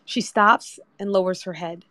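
A woman's voice: medium pitch, a little slow, bright, forceful tone, no echo. Dry-sounding woman narrating a screenplay. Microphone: remote audio chat app.

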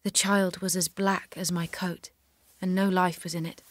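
A woman's voice: lowish voice, flat delivery, moderate pace, close to an everyday voice. A woman acting in an audio drama as a devout, determined young warrior maiden, earnest and resolute. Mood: solemn, reverent.

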